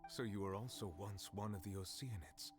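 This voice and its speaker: A man playing a grave, restrained, earnest character in a video game, with measured, formal speech. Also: on the low side, music in the background, natural voice. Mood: puzzled, solemn.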